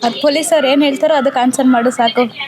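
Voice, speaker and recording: A woman's voice: sits high, steady tone, fast. A young woman in casual chat, as if with a friend. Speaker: member of the public joining a prank. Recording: clearly noisy with clicks.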